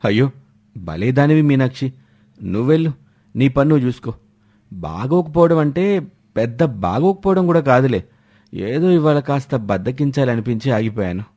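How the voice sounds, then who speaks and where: A man's voice: mid-range voice, animated, normal speed, pauses often, mellow, lightly acted. A man voicing an easygoing, scheming husband in a family storybook tale. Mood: lazy, reassuring. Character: sly card-loving husband, easygoing schemer.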